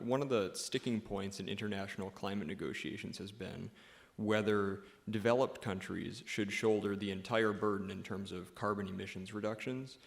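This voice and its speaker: A man's voice: fairly low pitch, vocal fry. A young man, a student, politely putting a formal question to a speaker at a public event. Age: young adult. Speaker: student audience member asking a question.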